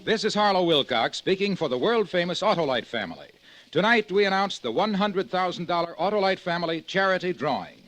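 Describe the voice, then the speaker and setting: A male announcer with a high-pitched voice delivers a vintage radio commercial. He is emphatic and salesmanlike, in a scripted 1950s style. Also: very animated, a little fast, fairly bright tone, no echo, soft background music. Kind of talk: 1950s radio advertising read.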